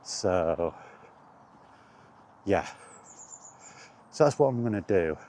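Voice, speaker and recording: A man with a low, mellow voice rambles casually while out on a walk. He thinks aloud in short, unhurried phrases as he hosts his vlog, with some outdoor noise around him. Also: animated. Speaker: host of a walk-and-talk retro gaming vlog.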